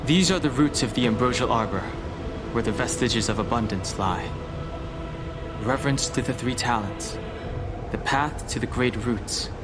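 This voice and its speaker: A man playing a calm, reserved guide in a game cutscene, solemn and terse as he recites lore. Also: medium pitch, moderate pace, over loud music, lightly acted. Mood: resolute. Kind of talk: video game cutscene acting.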